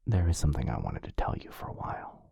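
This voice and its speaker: A gentle, devoted vampire lover, acted by a man for romantic ASMR roleplay. His voice is deep and very breathy, often slipping into a whisper. He speaks earnestly and haltingly, close and dry. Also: big pitch swings, no room sound, exaggerated voice. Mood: tender confession. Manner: soft, halting, earnest delivery.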